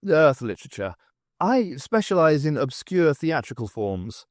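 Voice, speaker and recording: A man voicing an older male professor in an audiobook, rich and cultured, suave, deliberate and coolly charming. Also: fairly high pitch, big pitch swings, darkish tone, dry recording, studio quality. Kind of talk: audiobook character voice.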